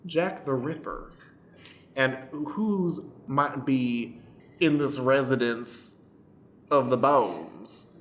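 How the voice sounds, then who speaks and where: A man's voice: mid-range voice, lively intonation, very slow, fairly bright tone. Stern, formal judge played by a man, echoey room. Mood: stern, skeptical. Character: stern, dry male courtroom judge, easily distracted. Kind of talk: improvised radio play acting. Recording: echoey room, faint background noise.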